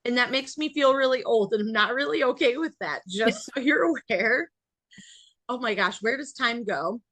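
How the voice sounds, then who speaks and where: A woman's voice: fairly high pitch, animated, moderate pace, a bit bright, no echo. An American woman hosting a podcast in a casual, chatty way, bubbly and joking at her own expense. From New York, United States. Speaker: podcast host.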